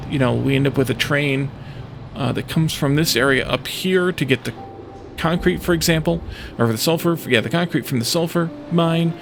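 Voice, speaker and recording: Creaky-voiced man musing over gameplay. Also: mid-range voice. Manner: musing, tentative, talking to himself. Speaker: let's play host. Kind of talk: casual gameplay commentary, thinking aloud. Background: music behind the voice.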